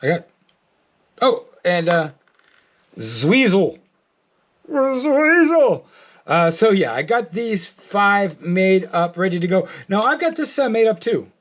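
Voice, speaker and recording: A middle-aged American man giving a casual, rambling video update, halting often and repeating his words. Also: sits high, very animated. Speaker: artist and toy maker. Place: art studio, indoors.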